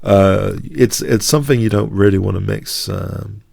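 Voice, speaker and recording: A man's voice: on the low side, moderate pace, creaky, a little mellow, slight background noise. A man with a cold commentating on his own blitz chess games, hesitant and prone to stammering restarts. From not from the United States. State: congested with a cold. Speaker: chess streamer.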